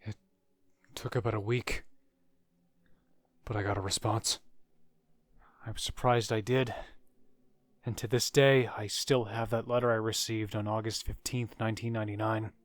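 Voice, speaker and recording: A man narrating a scripted first-person horror story, with dramatic pauses and phrases that trail off quietly. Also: fairly low pitch, flat delivery, dry recording. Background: music.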